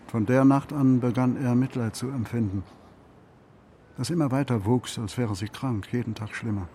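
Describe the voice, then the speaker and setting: An actor narrating as a melancholy male storyteller in a literary radio play, in a measured manner. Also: medium pitch, mellow, slight background noise, lightly acted. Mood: intense, sombre.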